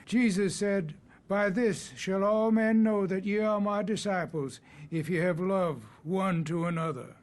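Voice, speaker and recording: An actor playing an earnest, folksy country preacher who quotes scripture in a radio drama. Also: male voice, high voice, measured pace. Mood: kindly, earnest. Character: earnest country preacher rallying his congregation to help neighbors. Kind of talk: radio drama acting, sermon.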